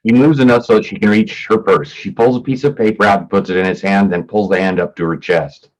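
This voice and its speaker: Male narrator for a staged reading: low pitch, scripted scene and action descriptions. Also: fairly even intonation, a little fast, rough recording. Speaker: stage directions reader.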